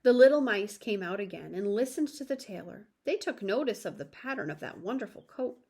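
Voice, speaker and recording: A woman's voice: mid-range voice, lively intonation, brisk, darkish tone, husky. A woman reading a children's story aloud expressively, with asides to the listener.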